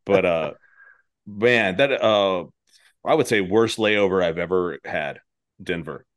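A man's voice: low voice, slow, fairly bright tone. A man hosting a podcast in casual chat, with an irreverent, sweary way of talking. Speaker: podcast host.

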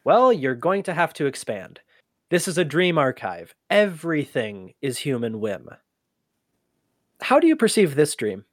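An actor playing an inquisitive human archivist in an audio drama, with a conversational, halting, often exasperated manner. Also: medium pitch, very animated, no echo, studio quality. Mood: frustrated, insistent. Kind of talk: scripted audio drama acting.

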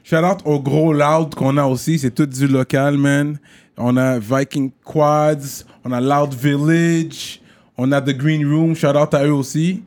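A man's voice: fairly high pitch, flat delivery, takes it slowly, darkish tone. A man with a Quebec French accent hosting casually, mixing street slang and English phrases into his French. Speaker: podcast host.

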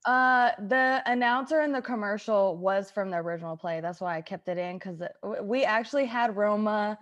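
A woman podcast host in a casual group chat, talking in a giggly, animated way with some casual swearing. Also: mid-range voice, moderate pace, muffled recording.

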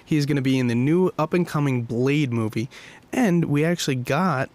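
A man's voice: mid-range voice, moderate pace, darkish tone. A man with an ordinary mid-range voice talks casually about movies as a podcast host. He is informal and blunt, and gives his opinions freely.

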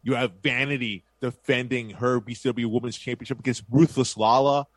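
A man's voice: medium pitch, steady tone, a little fast, no echo. A man co-hosting a podcast in casual chat, talking with eager hype about upcoming events. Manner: enthusiastic hype-style promo delivery. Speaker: podcast co-host.

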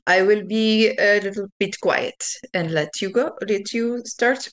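A woman's voice: mid-range voice, unhurried. A woman playing a tabletop role-playing game, casually talking through plans partly in character.

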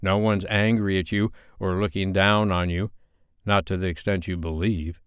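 A man's voice: deep voice, little pitch movement, moderate pace, clear, resonant tone, dry recording, studio quality, close to an everyday voice. A man voicing a stern, dominant boyfriend in an audiobook, speaking in a firm, clipped way.

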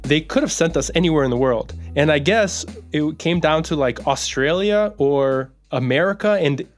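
Relaxed Russian-born male actor guest. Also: a little high, moderate pace, soft background music. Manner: relaxed, rambling, with many likes and restarts. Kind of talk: casual interview conversation.

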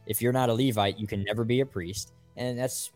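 A man's voice: medium pitch, fairly even intonation, brisk, a little mellow, soft background music. A man co-hosting a casual religious discussion podcast in an easygoing, conversational way. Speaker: podcast co-host.

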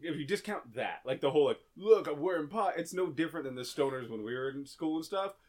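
A man co-hosting a podcast, talking loudly and rambling in casual jokes in an echoey room. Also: fairly high pitch, animated, quick pace. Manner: loud, joking, rambling. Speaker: podcast co-host. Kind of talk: casual chat.

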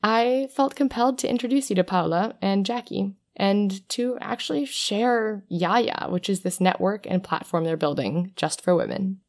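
A woman's voice: mid-range voice, normal speed, few pauses, darkish tone. A woman hosting a conversational interview, upbeat and encouraging toward her guests. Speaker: host.

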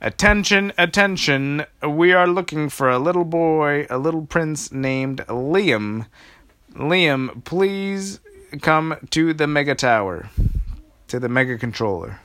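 A man's voice: sits high, wildly swooping pitch, measured pace, few pauses, fairly bright tone, no room sound, voice pushed up, exaggerated voice. A man voicing a confused, forgetful old woman who keeps repeating her questions. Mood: fussy.

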